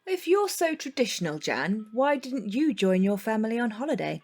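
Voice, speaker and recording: A woman's voice: on the high side, very animated, normal speed, a little mellow, faint music behind. A woman playing a candid, direct woman with a dry, teasing manner in an audio drama. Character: candid, dryly teasing woman, unafraid to challenge.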